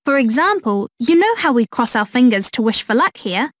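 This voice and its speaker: A woman voicing a young woman back from holiday, explaining customs in a bright way. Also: fairly high pitch, big pitch swings, normal speed, no room sound, clean studio sound. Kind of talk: scripted textbook dialogue.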